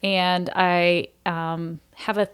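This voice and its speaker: A woman's voice: fairly low pitch, little pitch movement, extremely slow, clear, resonant tone, no echo. A woman who works in theater, talking casually as a guest in a podcast interview.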